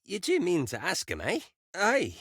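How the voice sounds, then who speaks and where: A man's voice: high-pitched, huge pitch swings, moderate pace, a bit bright, breathy edge, dry recording, clean studio sound, pitched above the performer's own voice, heavily acted. A man voicing a brash, teasing female second soul of an elf wizard in a game, speaking blunt, rustic, archaic dialect. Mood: chuckling, teasing.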